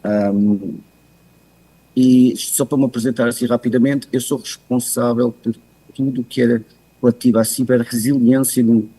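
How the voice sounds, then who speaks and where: A man with a European Portuguese accent, joining by video call to give a measured, hesitant expert presentation with many pauses. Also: mid-range voice, steady tone, dark tone, slightly rough, rough recording. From continental Portugal. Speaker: guest speaker, cybersecurity expert. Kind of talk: presentation talk.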